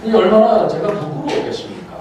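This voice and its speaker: A man's voice: sits high, animated, brisk, mellow, a little hiss or hum. An older man giving a casual, joking guest lecture, chatty and self-deprecating. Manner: chatty, self-deprecating, quotes others in playful voices. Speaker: guest lecturer, counseling professor. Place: large auditorium. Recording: echoey hall, voice from far off.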